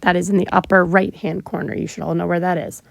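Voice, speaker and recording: A woman teacher, sick and hoarse, patiently walking her students through math homework step by step with frequent ums. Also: fairly low pitch, fairly even intonation, quick pace. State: sick, partly lost voice. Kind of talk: recorded math lesson walkthrough.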